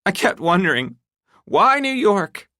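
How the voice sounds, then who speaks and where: A man's voice: sits high, lively intonation, normal speed, pushed and bright, dry recording, clean studio sound, pitched above the performer's own voice. A man voicing a distraught, betrayed wife who accuses her husband in a shaking voice that rises to shrieks. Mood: shaken, accusing. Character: distraught betrayed middle-aged wife, shrill.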